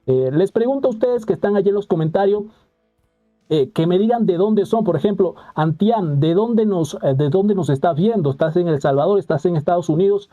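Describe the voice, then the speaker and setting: A young man hosts a live stream, talking to his viewers off the cuff. His voice is very dark and mellow, and he stops often on drawn-out fillers before going on. The pitch sits a little high for a man. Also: lively intonation, dull audio, faint music behind. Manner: halting, many "eh" fillers and restarts, reads out viewer comments. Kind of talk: live commentary reacting to a news clip.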